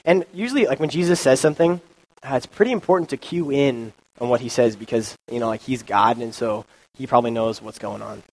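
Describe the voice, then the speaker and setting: A young man gives a relaxed youth sermon into a microphone, a little stuffy from a cold. His delivery is informal and conversational, full of fillers and asides. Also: mid-range voice, a little fast, poor audio. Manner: informal, chatty, many ums and likes. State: has a cold, sniffly. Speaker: youth pastor. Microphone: handheld or stand mic.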